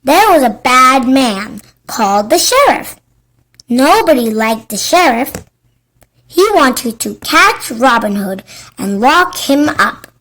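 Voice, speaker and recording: A woman reads a children's story aloud in a high voice. Her pace is very slow and deliberate, and her intonation is animated. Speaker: storybook narrator. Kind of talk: scripted children's story narration.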